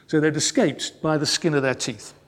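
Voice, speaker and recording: A man telling a family wartime story in a measured, solemn sermon style. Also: fairly high pitch. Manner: measured and solemn, slowing on key phrases. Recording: long reverb in a large hall.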